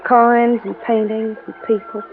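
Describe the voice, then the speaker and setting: An actress playing a flirtatious, wistful wife in a 1950s radio drama, with a coy, theatrical manner. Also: female voice, fairly high pitch, flat delivery, a little slow. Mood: bitter, wistful. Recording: tinny old radio recording with music.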